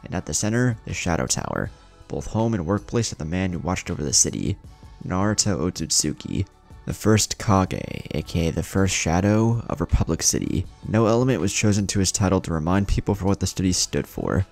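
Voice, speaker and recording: Male narrator, scripted storytelling, deep, creaky, expressive range, background music. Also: fairly quick.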